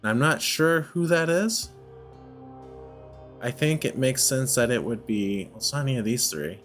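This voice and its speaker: A man's voice: mid-range voice, animated, a little slow, a bit bright. A man giving casual let's play commentary, thinking aloud and often questioning himself as he goes. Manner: musing, self-questioning. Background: background music.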